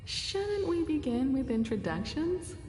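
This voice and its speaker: A woman playing a poised, devoted mature woman in anime game style, calm and reassuring, with a measured, gently teasing manner. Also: sits high, faint music behind. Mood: poised, amused.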